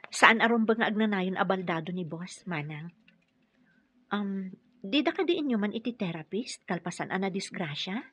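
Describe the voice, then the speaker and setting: Husky-voiced, earnest young nurse. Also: female voice, medium pitch, lively intonation, normal speed, fairly bright tone, muffled recording. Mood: curious. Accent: Filipino. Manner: polite, hesitant, questioning. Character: earnest, polite single mother, a nurse seeking caregiver work. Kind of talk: Ilocano radio drama acting.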